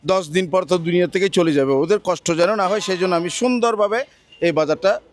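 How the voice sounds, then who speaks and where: A man's voice: high voice, a little fast. A middle-aged man who runs a livestock market, speaking in a boastful, salesman-like way at an outdoor market into a handheld mic. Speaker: market organizer. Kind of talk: on-location interview. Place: outdoor livestock market under a bamboo shed. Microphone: reporter's handheld mic.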